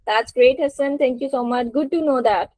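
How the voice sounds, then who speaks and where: A woman's voice: high voice, moderate pace, warm tone, no room sound. A woman teaching a spoken English lesson to a group on an online call, encouraging and prompting participants. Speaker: English teacher hosting a webinar.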